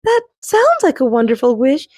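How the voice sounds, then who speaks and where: A fearful, fretful magical rock spirit from a children's storybook, put on by a woman reading aloud. Her voice sits high and wobbles with worry, leaping even higher when she is scared. The sound is dry and close. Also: normal speed, dry recording. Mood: nervous. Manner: fretful, quavering, easily frightened. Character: timid female wish-granting rock spirit.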